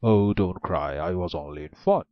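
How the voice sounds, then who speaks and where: A man voicing a big, gruff male ogre who questions the girl suspiciously, loud and slow-spoken. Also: low voice, no echo, dull audio, close to an everyday voice.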